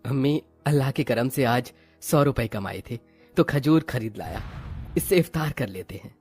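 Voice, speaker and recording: A man's voice: medium pitch, moderate pace, a little mellow, slightly rough, faint music behind, lightly acted. A man voicing a humble, pious poor father in a meek, pleading manner for a story. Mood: gentle.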